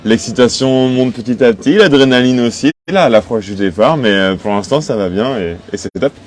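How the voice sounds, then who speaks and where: A man's voice: medium pitch, a little fast. A man of twenty-five from western France, answering interview questions conversationally as a skipper. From Cholet, western France. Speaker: sailing skipper being interviewed. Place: aboard a sailing boat in port. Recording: noticeable background noise on location.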